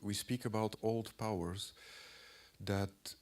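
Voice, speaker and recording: A man in his forties with a cold, giving a halting lecture as an artist, clearing his throat often and filling pauses. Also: fairly low pitch, steady tone, a little mellow. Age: 40s. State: has a cold.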